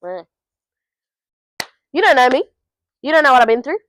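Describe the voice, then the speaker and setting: A woman's voice: sits high, very animated, moderate pace, a bit bright. A teenage woman, giving blunt, sweary advice straight to camera in a casual vlog recorded at home. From Australia. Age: teens. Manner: blunt, swears freely, talks straight to the viewer. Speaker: vlogger.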